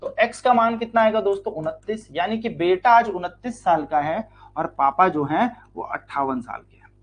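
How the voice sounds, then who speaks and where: A man's voice: high voice, expressive, normal speed, slight background noise. A man with an Indian accent teaching an exam-prep maths lesson, explaining step by step and asking his students questions he then answers himself. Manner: repeats key lines and stretches words out to stress them.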